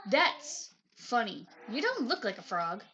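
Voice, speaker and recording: A woman's voice: fairly high pitch, sing-song, a little slow, a bit bright, dull audio, slight background noise. A woman voicing a nosy, grumpy, scheming witch in a picture book read-aloud. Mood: suspicious.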